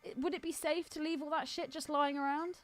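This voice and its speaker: A woman's voice: sits high, fairly even intonation, fairly quick. A British woman co-hosting a casual film podcast in a blunt, irreverent, sweary way. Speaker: podcast co-host.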